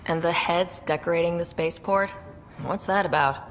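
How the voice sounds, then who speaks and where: A woman's voice: fairly low pitch, moderate pace, long reverb tail, a little hiss or hum, close to an everyday voice. A woman playing a composed young explorer hero in a video game, direct and dry in delivery. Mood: probing, dry. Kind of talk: video game cutscene acting.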